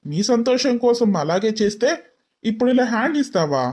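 A man plays a young businessman in an audio story. He is irritable, scolding and quick to taunt. His speech is sprinkled with English words. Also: extremely high pitch, animated, darkish tone. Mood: taunting, accusing. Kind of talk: dramatised story dialogue.

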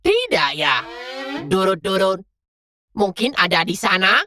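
A woman's voice: medium pitch, big pitch swings, unhurried, bright, forceful tone, slight background noise. A woman voicing a helpful male robot shark in a children's cartoon, with stilted robotic delivery. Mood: mechanical, helpful.

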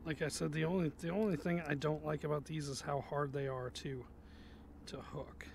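A man's voice: a little high, steady tone, normal speed, vocal fry, slight background noise. A man vlogging while fishing on an outdoor pier, chatting casually and muttering to himself, recorded on a head-mounted action camera. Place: outdoors on a pier over an inlet.

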